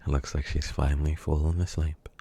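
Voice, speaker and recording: A man as a very deep, smug kidnapper. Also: flat delivery, mellow, husky, no room sound, exaggerated voice. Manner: slow, teasing, drifting into whispers. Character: menacing, smug male kidnapper. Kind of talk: ASMR roleplay.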